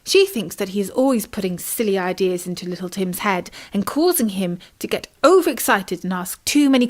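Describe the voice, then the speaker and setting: A woman with a British accent narrating a children's bedtime story expressively as a storyteller. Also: mid-range voice.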